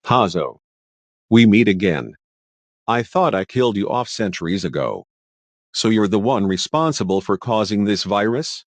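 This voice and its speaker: A man playing a weary, defiant ancient hero, speaking gruff, confrontational dialogue in an amateur drama. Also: on the low side, expressive, normal speed.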